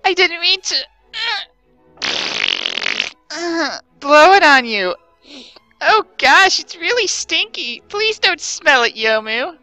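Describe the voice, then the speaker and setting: Apologetic ghost mistress for a story reading: bright, high, whiny and distressed. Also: female voice, very animated, takes it slowly, faint music behind, full character voice, low-quality recording. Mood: flustered, apologetic.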